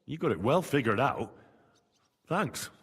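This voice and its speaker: An actor playing a plain-spoken, eager young medieval man-at-arms in a video game, husky, earnest and gallant. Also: medium pitch, big pitch swings, measured pace, long reverb tail, exaggerated voice. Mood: practical, grateful. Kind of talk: scripted game dialogue.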